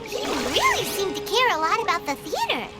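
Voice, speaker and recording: Squeaky, very high childlike companion. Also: female voice, wildly swooping pitch, moderate pace, exaggerated voice. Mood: curious, surprised. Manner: refers to self in third person, exclamatory. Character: small childlike floating companion girl, naive and curious. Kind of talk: video game voice acting. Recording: music playing behind the voice. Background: game music.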